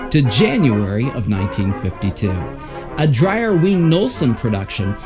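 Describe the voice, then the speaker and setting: Male host, fairly high voice, lively intonation, scripted narration, music behind.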